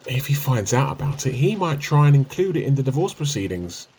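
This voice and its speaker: An actor playing an evasive, sheepish husband with a dry wit in a radio play comedy, hesitant and teasing. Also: male voice, mid-range voice, sing-song, fairly quick, darkish tone, slight background noise, lightly acted. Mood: surprised, curious.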